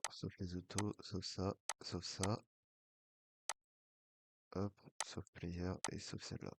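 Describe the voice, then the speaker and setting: A deep-voiced man with a head cold talks in a flat, even tone, his words thick and nasal from a blocked nose. He narrates a casino session casually and drifts into mumbling to himself as he clicks through bets. A clear hiss runs under the recording. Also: takes it slowly. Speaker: online casino streamer. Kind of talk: casual play-by-play of an online baccarat session.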